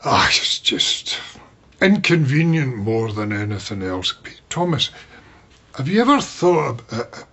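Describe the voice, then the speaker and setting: Older Scottish man, raspy, lively swooping intonation, fussy, hesitant, naturalistic radio drama. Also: medium pitch, unhurried, lo-fi sound, exaggerated voice. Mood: hesitant, grumbling. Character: forgetful, fussy older man with an injured ankle.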